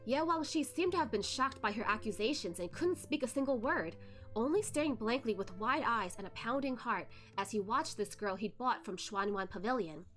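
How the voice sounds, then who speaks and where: A woman with a high voice hosts a read-along, narrating a dramatic novel expressively. Faint music plays behind her. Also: animated, moderate pace, a bit bright. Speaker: host of a novel read-along, virtual streamer. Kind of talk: expressive story narration with casual asides.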